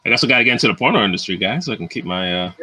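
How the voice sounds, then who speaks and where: A man with a husky, forceful voice and a lot of movement in his pitch chats casually as a podcast co-host. He jokes and chimes in agreeably. The recording is dry. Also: expressive, rapid-fire, no room sound, low-quality recording. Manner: joking, agreeable, interjecting.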